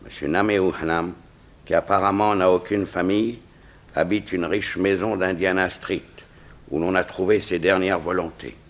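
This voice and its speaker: An actor playing a grave, dignified diplomat with a formal, measured delivery in a 1960s French radio serial. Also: male voice, low-pitched, steady tone, natural voice. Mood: reading, puzzled.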